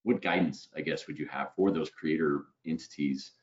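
A man's voice: extremely low pitch, steady tone, normal speed. A man in his forties hosting a video call interview on a headset, chatting and ending many points with "right?". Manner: conversational, tags lines with "right?". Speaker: webinar host. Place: home room. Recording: slightly dull video call audio.

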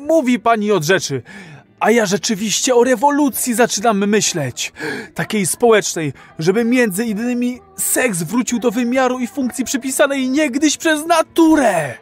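An actor playing an earnest, rebellious citizen of a dystopian future in a radio play. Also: male voice, very high voice, lively intonation, normal speed. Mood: fired up. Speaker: actor in an audio drama.

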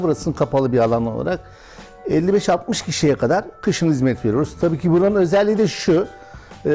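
Man, 50s, from Bursa; owner giving a measured interview in his dining room, mellow timbre, soft music behind. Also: on the high side. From Bursa, Turkey. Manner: measured, with frequent hesitation fillers. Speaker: hotel and restaurant owner. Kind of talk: interview answers. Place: historic restaurant dining room, indoors.

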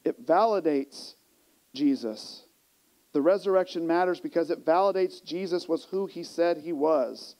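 Male preacher, 40s, high voice, emphatic sermon delivery shifting between soft and forceful phrases, clip-on mic in a church. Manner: emphatic, rhetorical questions, swings between hushed and raised delivery. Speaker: pastor. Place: church sanctuary stage, indoors. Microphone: small mic clipped to the chest.

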